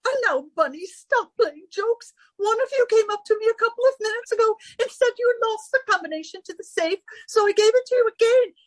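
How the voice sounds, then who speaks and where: A fussy, dramatic society hostess in an old radio comedy. Her voice is extremely high and shrill, swooping theatrically, with a dry close sound. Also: animated, a little fast, few pauses, no echo, low-quality recording. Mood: fussy, brisk. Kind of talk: 1940s radio comedy acting.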